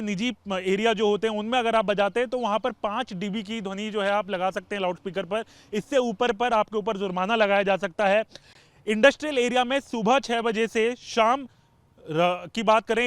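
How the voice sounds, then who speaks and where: A male TV reporter with a high, clear voice. He explains the news step by step, often circling back over his phrasing. The sound is dry and close. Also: fairly bright tone, no room sound.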